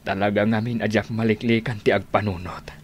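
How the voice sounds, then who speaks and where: A sorrowful older man played by a male actor talks in a low voice with an Ilocano accent. He sounds bereaved and grateful, in a radio serial with slight background noise. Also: level tone, brisk, natural voice. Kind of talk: radio drama acting.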